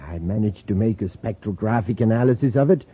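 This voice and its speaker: A man playing a fussy, excitable meteorite professor with hesitant speech in an old-time radio adventure. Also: fairly low pitch, a little fast, slightly rough. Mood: scholarly. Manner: hesitant, fussy, swooping emphasis. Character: excitable, scholarly male professor, a meteorite expert. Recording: very muffled, lo-fi old radio recording.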